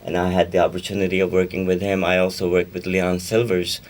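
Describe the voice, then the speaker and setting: A man who speaks Urdu as his first language talks through a radio interview in a very low voice, rambling and restarting his sentences. He sits a little back from the microphone. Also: level tone, quick pace, far from the mic. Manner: rambling, self-correcting, laughs easily. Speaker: guest singer-songwriter.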